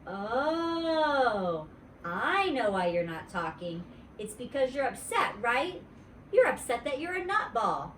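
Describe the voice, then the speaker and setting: Bubbly storybook schoolgirl, acted by a woman: high squealy pitch, boastful, nosy, echoey room. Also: slow, slight background noise, heavily acted. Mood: matter-of-fact, teasing. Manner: squealy, childish, excitable. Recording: roomy echo, distant mic.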